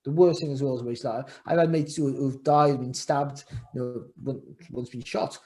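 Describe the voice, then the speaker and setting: A man's voice: mid-range voice, steady tone, moderate pace, dark tone. A middle-aged man from Wales, a former boxer chatting as a podcast guest over a video call. He talks earnestly, repeating phrases and restarting, then turns emphatic. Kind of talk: casual interview chat. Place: home room, indoors.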